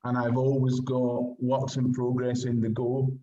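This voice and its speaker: A man's voice: medium pitch, monotone, unhurried, dark tone, slight background noise. A middle-aged man with a Scottish accent, answering casually as a writer being interviewed, heard through lo-fi call audio. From Glasgow, Scotland.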